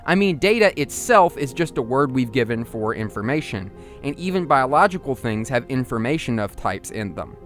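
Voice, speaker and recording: Male narrator, higher-middle everyday pitch, musing video essay delivery, explanatory, slightly conversational, music underneath. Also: big pitch swings, moderate pace, few pauses, fairly bright tone.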